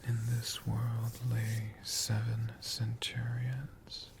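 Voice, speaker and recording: An ASMR storyteller of unclear sex telling tales in a hushed, drawn-out way, with long pauses and repeated words. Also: medium pitch, completely flat delivery, gravelly, background noise.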